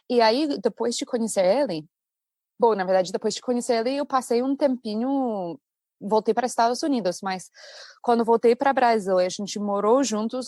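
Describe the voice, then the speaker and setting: An American woman, a native English speaker and English teacher, chatting as a podcast guest in a lively storytelling manner. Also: on the high side, dry recording, studio quality. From United States. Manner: chatty, animated storytelling with laughs. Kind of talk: casual podcast conversation.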